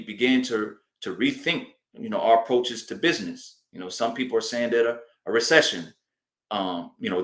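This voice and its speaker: Echoey male imam giving a deliberate sermon. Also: lowish voice, a bit bright. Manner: deliberate, emphatic, repeats phrases for emphasis. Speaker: imam giving a religious address. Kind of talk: sermon, public teaching address. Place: indoor hall of a mosque. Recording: roomy, echoing live address.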